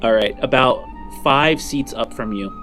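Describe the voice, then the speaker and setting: A man's voice: medium pitch, unhurried, a bit bright. A man running a tabletop roleplaying game as game master, narrating scenes in descriptive storytelling. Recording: music under the voice.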